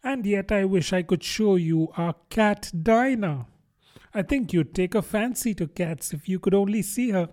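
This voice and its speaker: A man's voice: sits high, expressive, measured pace, darkish tone, pitched above the performer's own voice. A man voicing a polite, curious young girl in a storybook, earnest and chatty. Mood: eager chatter. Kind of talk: storybook character voice.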